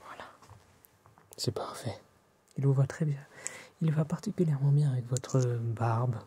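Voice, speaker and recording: A man with a French accent whispers softly and breathily in an ASMR roleplay, addressing the listener politely and formally as an attentive tailor, close to the microphone. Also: mid-range voice, normal speed, a little hiss or hum. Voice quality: soft, breathy whispering and hushed speech.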